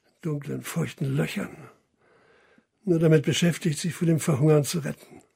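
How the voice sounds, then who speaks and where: An actor playing a wry, knowing puppeteer in a radio drama, speaking in a measured, teasing way with a husky voice. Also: male voice, a little high, a little mellow, full character voice. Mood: bitter. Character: wry, unhurried male puppeteer of the old town, knowing and secretive. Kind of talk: German radio play acting.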